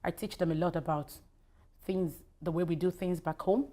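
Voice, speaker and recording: A woman's voice: lowish voice, steady tone, normal speed, warm tone. A middle-aged Nigerian woman, a native Yoruba speaker, answering interview questions conversationally in an emphatic, repetitive way. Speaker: talk show guest, investment banker.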